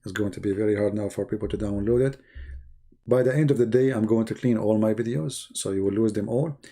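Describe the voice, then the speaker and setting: A man's voice: fairly low pitch, fairly quick, dark tone. A man rambling sarcastically as host of a livestream chat, full of "you know" fillers. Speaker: channel host. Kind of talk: joking livestream chat with viewers.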